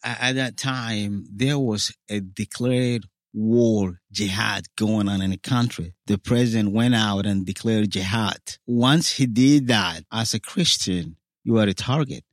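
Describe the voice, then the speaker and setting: A man's voice: fairly low pitch, unhurried, no room sound, studio quality. A man in his forties from South Sudan, a guest in an interview, telling his own story earnestly and acting out what others said to him. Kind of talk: personal testimony in interview.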